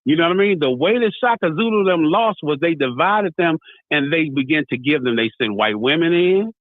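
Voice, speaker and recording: A man's voice: on the high side, lively intonation, fairly quick, no echo. An American man talking in an impassioned, casual debate as a radio talk host, telling stories and acting out dialogue. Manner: storytelling, acts out dialogue, repeats "you know what I mean". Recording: tinny phone-like sound.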